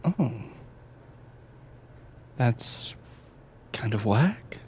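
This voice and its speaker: Cheeky, slow-drawling young guy, animated delivery. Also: mid-range voice, a little hiss or hum. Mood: gossipy, teasing. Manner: teasing, drawling, playful. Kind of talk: naturalistic video game dialogue acting.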